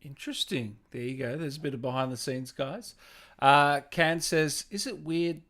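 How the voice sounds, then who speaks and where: A man's voice: a little high, moderate pace, a bit bright. A man hosting a casual, conversational gaming interview, informal in his questions and as he reads from viewers. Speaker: interviewer, gaming stream host. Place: remote video call.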